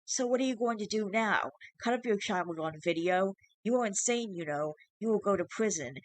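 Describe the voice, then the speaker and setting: A man voicing a shrill, spiteful changeling creature posing as an infant. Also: expressive, moderate pace, no echo, poor audio. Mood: taunting. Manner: mocking, later frantic and pleading.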